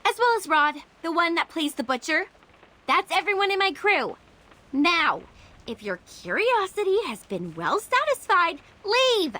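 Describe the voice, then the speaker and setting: Brash, high-pitched hot-tempered young director. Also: female voice, big pitch swings, a little slow, bright, forceful tone, heavily acted. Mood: irritated. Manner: combative, snappy. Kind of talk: dramatic video game voice acting.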